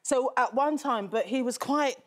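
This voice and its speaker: Middle-aged woman author guest, earnest, a touch high. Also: moderate pace. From England. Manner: thoughtful, earnest, laughs easily. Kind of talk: casual chat. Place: TV studio.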